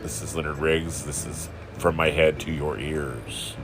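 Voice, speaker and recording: A man's voice: extremely low pitch, a little slow, background noise. A man hosting his own podcast in casual, rambling solo talk, with a just-woken morning voice, recording from bed at home. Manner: rambling, self-deprecating, chuckling. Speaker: podcast host.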